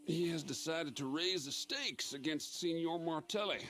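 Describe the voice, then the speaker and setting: An actor playing a shrewd, coolly menacing Old West operator in a video game, speaking in measured, sententious phrases. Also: male voice, on the high side, music in the background, lightly acted. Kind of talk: video game cutscene acting.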